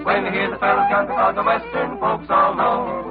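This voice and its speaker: A high male voice sings animated western swing. Loud country fiddle music accompanies it. The sound is a muffled 1940s radio recording. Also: a little fast.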